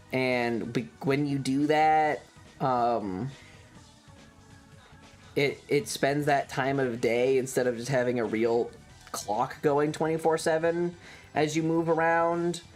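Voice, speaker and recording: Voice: mid-range voice, fairly even intonation, takes it slowly, faint music behind. A video game streamer chatting casually in a rambling, blunt way that is peppered with swearing. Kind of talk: casual livestream commentary.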